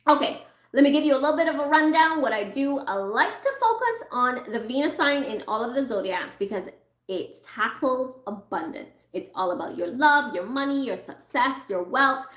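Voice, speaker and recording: A woman reading tarot cards for her viewers in a chatty, reassuring way. She is losing her voice and keeps clearing her throat. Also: high-pitched, expressive, moderate pace, a bit bright, reverberant. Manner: chatty, reassuring, thinks aloud while pulling cards. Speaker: tarot reader.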